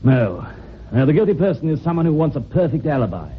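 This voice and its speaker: An actor playing a shrewd, unhurried police inspector, measured and wry, in an old-time radio drama. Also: male voice, a little high, big pitch swings. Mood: explaining. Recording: dull, hissy old radio recording.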